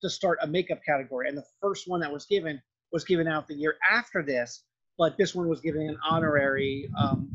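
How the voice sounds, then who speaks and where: A male lecturer with a slightly high, rough-edged voice talks through his material. His delivery is conversational and loosely worded, like a teacher explaining slides. The recording is somewhat dull and lo-fi. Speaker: film and art history lecturer. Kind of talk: lecture narration over slides.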